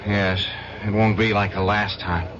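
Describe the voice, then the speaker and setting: An actor playing an earnest, urgent, shaken defense attorney in a 1970s radio drama. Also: male voice, lowish voice, flat delivery, a little slow, lightly acted. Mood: earnest, determined. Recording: dull old radio recording, crowd behind.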